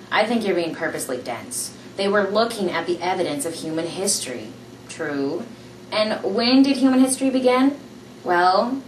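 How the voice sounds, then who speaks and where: A young woman reading a novel aloud in a small room, in a plain, steady reading voice, recorded on a camera from across the room. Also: fairly low pitch. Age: young adult. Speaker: amateur reader. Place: small room indoors, seated on a couch. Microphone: camera mic from across the room. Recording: roomy, distant camera audio with faint hiss.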